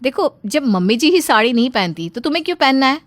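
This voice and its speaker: A woman's voice: sing-song, fast talker, no echo. Woman acting as flashy young snob of a daughter-in-law, raised voice, nagging, haughty, storybook character, dry recording. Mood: snobbish. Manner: haughty, nagging, high-swooping.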